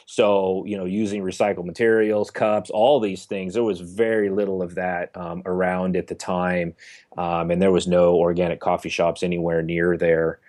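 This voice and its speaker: Brief: very low-voiced young guy from Northern California, interview guest, rambling and halting. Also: little pitch movement, a little mellow. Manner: halting, full of "you know" fillers and restarts. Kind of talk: casual interview conversation.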